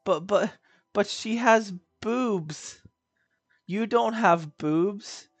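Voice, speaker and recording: A woman's voice: mid-range voice, extremely slow, no room sound. A woman giving casual gameplay commentary as a streamer, with offhand asides reacting to the game.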